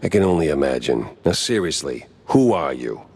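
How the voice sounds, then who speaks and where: An actor playing a world-weary, wisecracking mouse private detective in a noir video game, gruff, gravelly and deadpan. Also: male voice, rumbling low, moderate pace, heavily acted. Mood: sardonic. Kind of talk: noir game cutscene acting.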